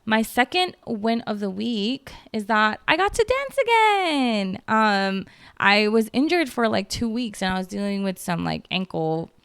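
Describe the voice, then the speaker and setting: Podcast host: woman, 30s, Filipino accent, gravelly but smooth voice, dry close sound, rambling and chatty. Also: on the high side, very animated, measured pace, a bit bright, dry recording. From Philippines. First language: Filipino language. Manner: chatty, lots of "like" and "um", laughs at herself. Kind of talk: casual solo podcast chat.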